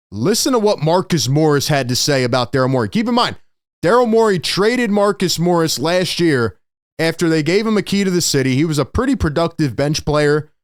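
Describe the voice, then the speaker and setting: An excitable man hosting a live sports reaction, his voice slightly hoarse from yelling yet still smooth and resonant. He talks in bursts of exclamation, recorded close and dry. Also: mid-range voice, no room sound. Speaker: sports podcast host.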